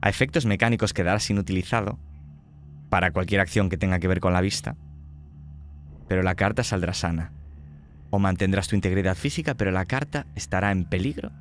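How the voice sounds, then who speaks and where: A man's voice: deep voice, a little fast, slight background noise. A man narrating a tabletop horror game as game master, describing scenes vividly and dramatically.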